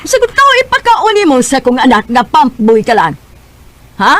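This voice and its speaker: A woman's voice: high-pitched, very animated, a little fast, dry recording. A woman playing a stern, scornful Cebuano mother scolding and commanding in a Filipino radio drama. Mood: angry, scornful. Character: stern, scolding mother who rejects her daughter's suitor. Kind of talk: Bisaya radio drama acting.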